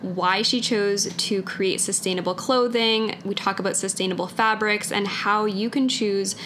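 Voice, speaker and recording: A woman's voice: mid-range voice, steady tone, moderate pace, fairly bright tone, slight background noise. Woman hosting a podcast. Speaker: podcast host. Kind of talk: scripted intro, then interview questions.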